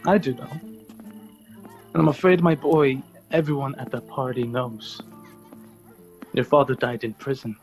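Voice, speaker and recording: Man as a kindly aging lieutenant, mid-pitched. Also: fairly even intonation, a little slow, pauses often, soft background music, lightly acted, rough recording. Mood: grave, sympathetic. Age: older. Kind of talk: radio drama acting.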